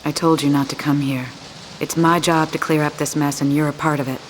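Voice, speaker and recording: A woman's voice: sits low, flat delivery, a little fast, natural voice. An actress playing a tough, cool-headed femme fatale gunwoman in a video game, curt and commanding. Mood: cold command. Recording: noisy game scene, rain ambience.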